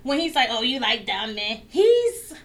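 A woman's voice: on the high side, sing-song, unhurried. A young woman in a casual group chat, playful, brash and slangy.

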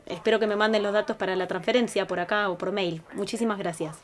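Una actriz con acento rioplatense interpreta a una madre y esposa de mediana edad, apurada y dada al reproche, con actuación naturalista de ficción sonora. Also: female voice, medium pitch, fairly even intonation, fast, soft background music, close to an everyday voice. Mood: casual, evasive. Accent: Rioplatense Argentine. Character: harried, reproachful mother and wife of a busy household. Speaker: actress in an audio fiction. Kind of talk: naturalistic audio drama acting.

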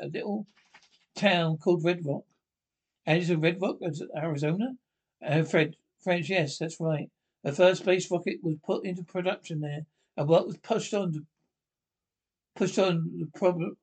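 A man reading a radio drama script aloud, halting and stumbling over words, repeating some and reading out the speaker names. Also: sits high, a little mellow, low-quality recording. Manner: reads speaker names aloud along with the lines. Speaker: amateur script reader.

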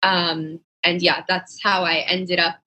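Bright-voiced young woman chatting. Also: lowish voice, flat delivery, takes it slowly. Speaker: podcast guest, fashion designer. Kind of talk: casual conversational interview answers.

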